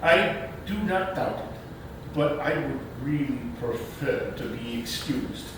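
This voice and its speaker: Timid, proper uncle in a stage comedy played by a man, halting and slow, distant roomy recording. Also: expressive, vocal fry. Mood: nervous, reluctant. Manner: hesitant, formal, halting. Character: timid, reluctant, proper uncle who dreads babies. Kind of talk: stage readers' theater acting. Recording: roomy, distant microphone with rumbling noise.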